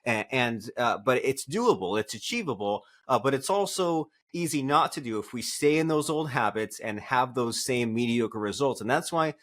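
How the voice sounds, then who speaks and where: A man's voice: mid-range voice, lively intonation, moderate pace, few pauses, a bit bright. A man hosting an interview podcast, conversationally summing up and affirming, often checking in with a tag question. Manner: affirming, summarizing, adds "right?" as a tag. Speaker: podcast host.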